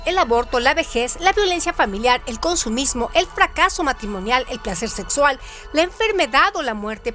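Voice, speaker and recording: A woman with a high-pitched voice reads scripted literary trivia as a presenter. She delivers it didactically as rhetorical questions, with faint music playing underneath. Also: a bit bright. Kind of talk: scripted educational narration.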